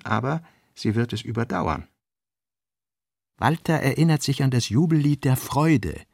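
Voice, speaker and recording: Man reading literary notes, gravelly, dry studio. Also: medium pitch, no echo. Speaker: narrator. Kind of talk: scripted literary reading in radio drama.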